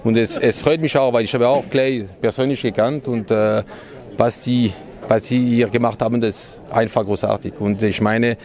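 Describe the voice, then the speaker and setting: A man's voice: medium pitch, steady tone, normal speed, darkish tone. Hesitant man outdoors. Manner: hesitant, many fillers and restarts. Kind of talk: spontaneous interview answer. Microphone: handheld mic.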